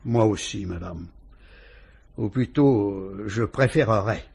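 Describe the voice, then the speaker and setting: An actor with a French accent playing a calm, shrewd police inspector who politely and ironically probes a suspect in a vintage radio drama. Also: male voice, lowish voice, gravelly, husky, exaggerated voice. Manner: measured, probing, politely ironic.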